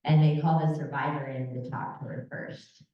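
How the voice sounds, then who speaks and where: Low-voiced woman in an echoey room. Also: fairly even intonation, normal speed. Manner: conversational, often seeks agreement with "right?". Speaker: trafficking survivor advocate and speaker. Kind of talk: informal panel talk with personal story. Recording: muffled, echoey room, far from mic.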